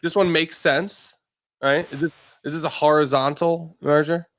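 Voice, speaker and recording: A man's voice: on the high side, steady tone, moderate pace, a bit bright. A man co-hosting a markets show, chatty and teasing, laughing easily. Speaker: co-host. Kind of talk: casual chat.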